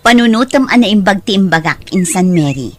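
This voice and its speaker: A woman with an Ilocano accent playing a persuasive, caring aunt in an audio drama, with an expressive, coaxing delivery. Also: medium pitch, brisk, natural voice. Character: Auntie Mikaela, persuasive, caring female relative.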